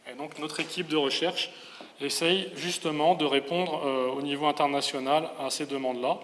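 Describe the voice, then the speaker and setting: A man's voice: a little high, steady tone, normal speed, fairly bright tone. A man in his forties from the Paris region with a metropolitan French accent gives a public lecture, explaining and rephrasing with some hesitation. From Paris region, France. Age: 40s. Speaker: engineering professor giving a public lecture. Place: large reverberant lecture venue. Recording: echoey hall with long reverb.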